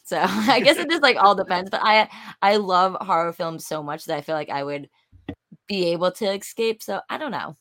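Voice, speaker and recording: A woman with a raspy voice, chatting casually as a co-host and peppering her talk with "like". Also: medium pitch, animated, normal speed, a bit bright. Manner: chatty, lots of "like" fillers and laughs. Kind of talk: casual chat.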